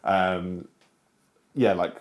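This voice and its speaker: Low-voiced man, animated chat. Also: extremely slow. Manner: animated, emphatic, trailing off into fillers. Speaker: co-host. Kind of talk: casual chat.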